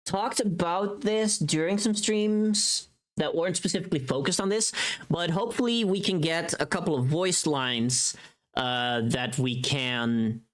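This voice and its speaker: A woman chatting casually to viewers on a livestream, talking in a scattered, expressive and self-deprecating way. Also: deep voice.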